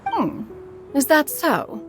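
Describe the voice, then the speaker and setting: A woman's voice: high-pitched, wildly swooping pitch, takes it slowly, exaggerated voice. A woman voicing a dignified, haughty immortal bird sage in human form, speaking formally in a video game. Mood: stately. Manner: haughty, formal, calls herself "one". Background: music.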